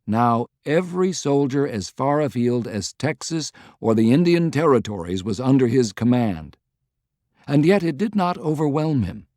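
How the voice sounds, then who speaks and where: Male narrator for a historical novel, mid-range pitch, close dry recording, scripted read. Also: big pitch swings, moderate pace, a little mellow, no room sound. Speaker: audiobook narrator.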